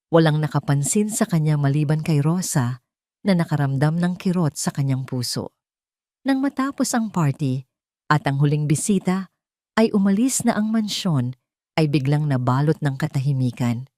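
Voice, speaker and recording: A woman's voice: deep voice, expressive, moderate pace, dark tone, no room sound, clean studio sound. A woman with a Filipino accent narrating a scripted dramatic story.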